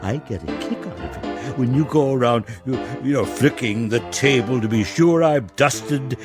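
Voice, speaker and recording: An actor playing a formal, deferential manservant with an impish streak, in old-time radio drama style. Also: male voice, medium pitch, moderate pace, music in the background, close to an everyday voice. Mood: giddy, cheeky. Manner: formal, servile, theatrical. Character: deferential manservant, gloomy outside, hiding impish cheek.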